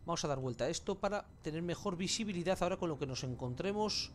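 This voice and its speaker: A man's voice: a little high, expressive, fast, a little hiss or hum. A man with an accent from Spain giving casual, colloquial gameplay commentary with mild swearing. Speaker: gamer, let's play host.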